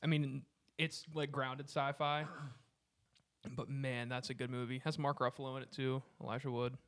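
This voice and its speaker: Mid-pitched man, informal and enthusiastic. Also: level tone, moderate pace, a bit bright, clean tone. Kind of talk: casual group chat.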